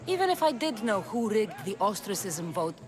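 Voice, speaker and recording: A woman's voice: medium pitch, sing-song, normal speed, close to an everyday voice. An actress playing a tough, guarded young Greek mercenary woman in a video game, dry and self-assured. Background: game music.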